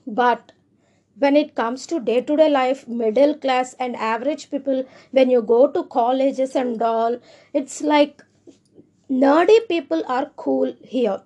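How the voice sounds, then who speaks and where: A young woman from India speaking English with an Indian accent in a high voice. She talks in a loose, earnest monologue and often stops to restart her sentences. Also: measured pace, warm tone. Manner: earnest, rambling, with frequent restarts. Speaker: speaker sharing personal views. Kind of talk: casual monologue.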